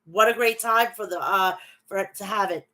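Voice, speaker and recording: A woman's voice: mid-range voice, moderate pace, sharp tone. A woman hosting a casual wrestling recap, talking through a stuffy nose from a bad cold, reading match results and chatting with viewers. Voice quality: nasal.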